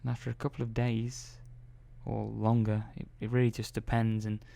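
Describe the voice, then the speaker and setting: A man playing a calm, methodical robot engineer in an ASMR roleplay, speaking softly and slowly with hesitant pauses. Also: on the low side, creaky. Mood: reassuring. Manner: soft-spoken, slow and hesitant, many pauses and "well"s.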